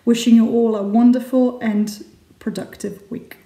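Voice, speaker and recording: A woman in her thirties giving measured, formal school announcements to camera as a teacher, recorded in a hallway. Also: on the high side, fairly even intonation, mellow. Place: large indoor hallway, camera at close range. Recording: echoey room.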